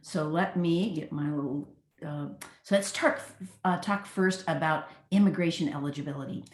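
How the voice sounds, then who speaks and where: A woman hosting a webinar on a video call, praising speakers and moving briskly to the next topic. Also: low-pitched, reverberant, a little hiss or hum. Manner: warm praise, chatty, brisk transitions. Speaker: host, program leader.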